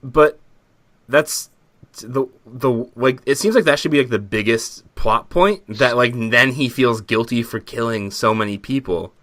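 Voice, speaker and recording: A man co-hosting a podcast, chatting casually and joking with animated incredulity. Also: mid-range voice, measured pace. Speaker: podcast co-host. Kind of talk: casual comedic film chat.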